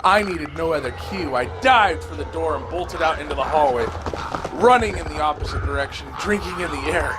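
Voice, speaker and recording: A man playing a wounded, frightened survivor, telling his story in a dramatic, halting first-person horror audio drama delivery. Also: a little high, over loud music, close to an everyday voice. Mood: urgent. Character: terrified wounded male survivor of a zombie horde.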